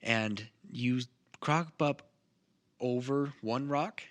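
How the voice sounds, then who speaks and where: A man's voice: mid-range voice, drawn-out words. A man casually narrating a tabletop game as game master among friends.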